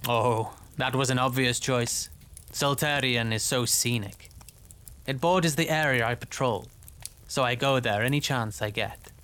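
A man's voice: medium pitch, unhurried, a bit bright, clean tone, lightly acted. Man acting a wry, self-assured fantasy lieutenant. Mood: breezy. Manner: ironic, formal. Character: male army lieutenant, wry, self-assured, earnest patrolman. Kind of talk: dramatised fantasy story dialogue.

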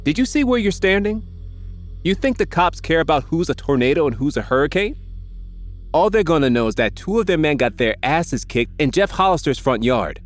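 A cocky, wry teenage gang leader who talks gruffly and sarcastically, as a male narrator puts him on. His pitch moves a lot. Soft music runs behind him. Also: big pitch swings, normal speed. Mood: tough.

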